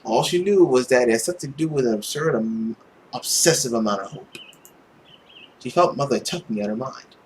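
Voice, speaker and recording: A let's player reading a visual novel aloud with expressive narration and casual asides. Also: medium pitch, a little fast. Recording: faint background noise.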